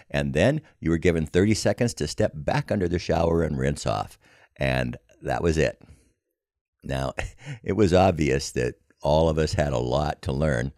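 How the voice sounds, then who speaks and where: Older american guy, super low creaky voice, wry folksy storytelling, dry close sound. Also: a little mellow, no echo. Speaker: podcast storyteller. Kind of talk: scripted personal narration.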